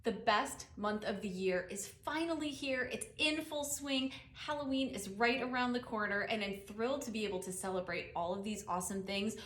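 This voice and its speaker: A woman with a mid-pitched voice gives an upbeat video introduction as a guest author. The sound is roomy and echoey, like a home recording. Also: normal speed, few pauses, a bit bright. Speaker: children's author, guest story reader.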